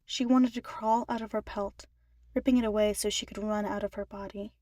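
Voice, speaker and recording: A woman's voice: medium pitch, steady tone, a little fast, warm tone, no room sound. A woman reading scripted story narration for a fan fiction audiobook. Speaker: podfic narrator.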